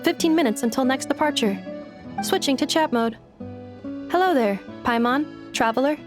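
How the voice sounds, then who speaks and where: Woman as a high-voiced, polite robot girl. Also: normal speed, music in the background, natural voice. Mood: neutral, formal. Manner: formal, precise, mechanical phrasing. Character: polite young female robot courier, literal and composed. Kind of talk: robotic video game character acting.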